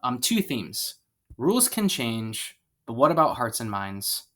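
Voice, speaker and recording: A man's voice: mid-range voice, big pitch swings, a little slow, bright, forceful tone. A man teaching a history lesson in a conversational, rambling way, with frequent fillers and asides. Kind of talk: classroom lecture.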